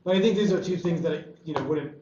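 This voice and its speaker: Higher-pitched man, distant in an echoey room. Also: quick pace, dark tone. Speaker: table read participant giving script feedback. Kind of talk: casual group discussion. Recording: distant mic, echoey room, muffled, faint noise.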